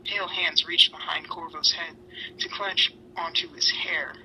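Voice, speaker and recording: A man giving a dramatic, breathy reading of fan fiction, with occasional stumbles. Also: a little high, steady tone, a little slow, bright, forceful tone, slight background noise, poor audio.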